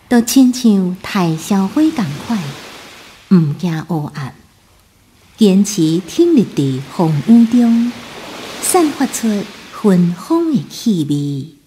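A woman's voice: mid-range voice, sing-song, slow pace, mellow, a little hiss or hum. A woman with a Taiwanese accent delivering a lyrical, scripted radio drama introduction. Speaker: narrator.

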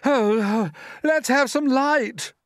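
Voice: medium pitch, big pitch swings, normal speed, dry recording, clean studio sound, voice pushed up. A reader voicing a vain, bossy male centipede in a children's storybook, blustering and demanding. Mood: indignant, demanding.

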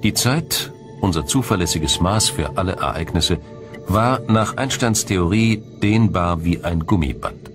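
A man reading a scripted science documentary in a measured, formal, explanatory manner. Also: low voice, animated, over music. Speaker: documentary narrator.

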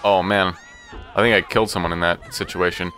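Guy doing a lets play, bright lowish voice, excitable and sweary, music playing behind him. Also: brisk. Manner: excitable, profane, talks to himself and the game. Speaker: gaming channel host. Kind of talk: casual let's play commentary.

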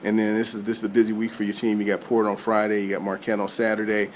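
Rambling reporter with hallway noise. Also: male voice, lowish voice, monotone, fast. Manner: rambling, many "you know" and "I mean". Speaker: sports reporter. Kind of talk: postgame interview questions.

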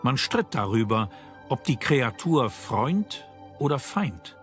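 A man's voice: medium pitch, big pitch swings, slow, a bit bright, soft background music. A man reading scripted narration as the narrator of a documentary.

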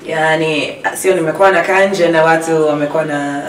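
A woman's voice: deep voice, steady tone, brisk. A young woman from Tanzania talking casually as a singer-songwriter guest in an interview. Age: young adult. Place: small radio studio. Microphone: large studio microphone on a boom arm. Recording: echoey room, distant sound, background noise.

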